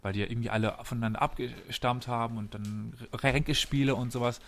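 A man's voice: medium pitch, fairly even intonation, fast, gravelly, a little hiss or hum. Man casually explaining history. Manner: explains step by step and quizzes his listeners. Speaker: podcast host presenting history.

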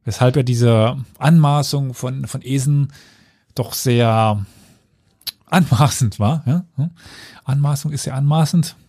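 A man's voice: medium pitch, measured pace, a little mellow, no room sound. A man hosting a casual history podcast, explaining at length with fillers and dry self-mocking asides. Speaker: podcast host telling history.

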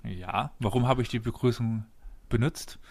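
A man's voice: mid-range voice, normal speed, gravelly, darkish tone. A man hosting a podcast, casually explaining history step by step and quizzing his co-host and listeners.

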